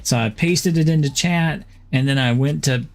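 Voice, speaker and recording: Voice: mid-range voice, moderate pace, darkish tone. Laid-back game streamer explaining a build. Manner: laid-back, lots of ums and laughs. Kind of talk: casual gameplay chat.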